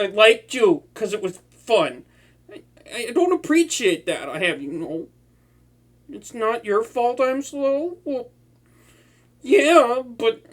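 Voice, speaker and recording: A man's voice: very high voice, full character voice. A man playing a flustered, boastful young male supervillain who stammers and swings between moods. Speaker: voice actor. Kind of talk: hobby audio roleplay to a listener.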